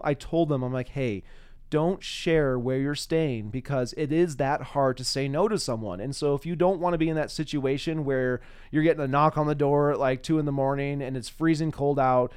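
A man's voice: on the high side, clean tone. A man hosting a podcast, chatting informally and laughing easily as he interviews a guest. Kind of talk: casual interview chat.

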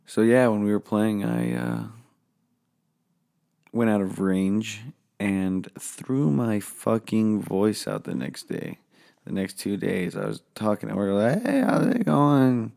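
A man with a hoarse, gravelly, low voice talks alone into his podcast mic from a home office. He drawls slowly through a rambling, self-deprecating monologue, swearing freely. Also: very animated, darkish tone. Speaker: podcast host. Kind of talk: casual solo podcast monologue.

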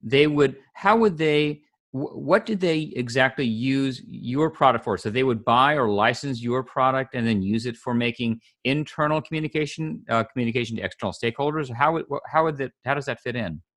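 Male interviewer, mid-pitched, rambling questioner. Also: brisk, a bit bright. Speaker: interviewer, host.

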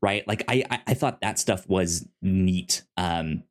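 A podcast co-host chatting casually about a show, often repeating and stuttering on the first sounds of words. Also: low voice. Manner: halting, repeats and stutters on word starts. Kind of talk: casual podcast review chat.

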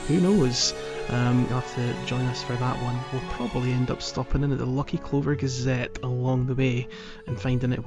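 A man hosting a podcast, chatting casually with the others. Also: medium pitch. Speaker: podcast host.

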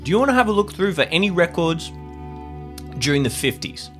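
A man's voice: fairly high pitch, very animated, fairly quick, over music. A man playing in an improvised tabletop audio drama, talking casually in a hesitant, chatty way. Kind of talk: casual improvised role-play.

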